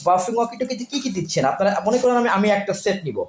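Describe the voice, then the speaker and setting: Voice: medium pitch, lively intonation, very fast. A Bangladeshi interviewer asking questions in a product promo, repeating and summing up details to confirm them. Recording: echoey room.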